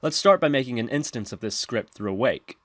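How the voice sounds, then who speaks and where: A man narrating a software tutorial in an instructional, step-by-step manner. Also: mid-range voice, lively intonation, a little fast, no echo. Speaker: tutorial presenter.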